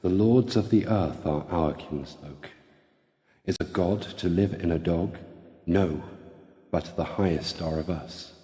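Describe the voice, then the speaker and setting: Deep, slow man in long reverb. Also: warm tone. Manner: slow, grave, deliberate. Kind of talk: solemn dramatic reading of scripture.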